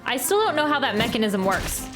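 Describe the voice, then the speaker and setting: A woman's voice: a little high, sing-song, brisk. A woman streaming a game with casual reaction commentary, thinking aloud and repeating phrases, game music behind her.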